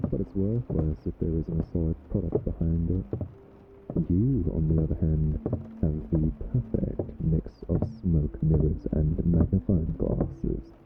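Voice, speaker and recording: A man's voice: deep voice, creaky, narrow, tinny audio. A man playing a smug, teasing vampire who drawls taunts at a captive nemesis in an ASMR roleplay monologue. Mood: smooth flattery.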